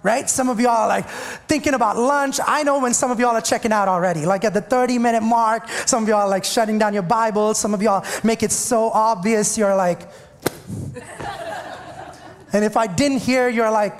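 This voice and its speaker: A man's voice: high voice, normal speed, in a large hall. A man from India with an Indian English accent, a pastor giving a chatty, joking sermon to his congregation. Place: church sanctuary.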